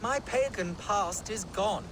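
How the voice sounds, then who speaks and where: A male voice as a slow, deliberate game monk, full of self-righteous piety over buried fury. Clear ambience sits behind him. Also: high voice, noisy background. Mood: smugly pious. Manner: pious, self-satisfied, slow. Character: sanctimonious convert monk with barely buried murderous rage. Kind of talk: video game cutscene acting.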